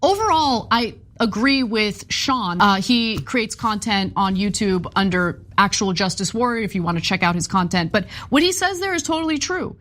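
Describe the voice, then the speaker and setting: A woman's voice: mid-range voice, expressive, normal speed, few pauses, a bit bright. A woman speaking in a playful, bantering way.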